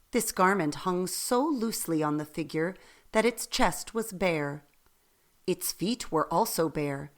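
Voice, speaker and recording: A woman narrating a radio play in an expressive, deliberate storytelling manner, with faint noise in the background. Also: medium pitch.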